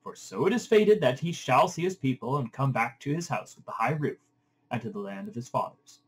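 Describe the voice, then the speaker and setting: A man's voice: medium pitch, animated, fairly quick, husky. A man voicing a commanding king of the gods in a stately, declamatory reading of an epic. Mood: solemn command.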